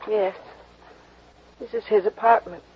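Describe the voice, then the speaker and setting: An actress playing a dazed, frightened young woman in a 1950s radio drama, halting and trailing off, on a dull old broadcast recording. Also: medium pitch, steady tone, husky. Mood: dazed, shaken.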